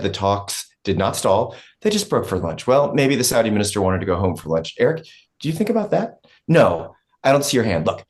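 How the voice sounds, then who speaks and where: A woman's voice: a bass voice, a little mellow. A woman playing a brash, scheming and defiant political operator in a stage comedy read. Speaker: actor in a table read.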